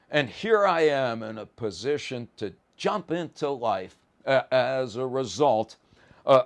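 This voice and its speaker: A man giving an informal philosophy lecture in a deliberate, halting manner, leaning on key words. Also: mid-range voice.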